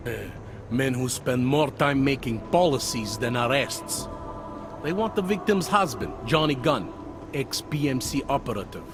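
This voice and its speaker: An actor voicing a world-weary, pragmatic police detective in a video game, with a wry, insinuating manner. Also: male voice, medium pitch, lively intonation, a little slow, music in the background, natural voice. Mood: confiding, sly. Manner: wry, insinuating, tags questions with "eh" and "huh". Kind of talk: video game cutscene dialogue.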